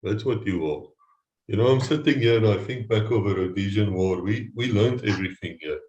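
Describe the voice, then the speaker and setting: Deep-voiced male host in a roomy space. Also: a little fast, lo-fi sound. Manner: earnest, warm praise of guest. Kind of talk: casual interview talk.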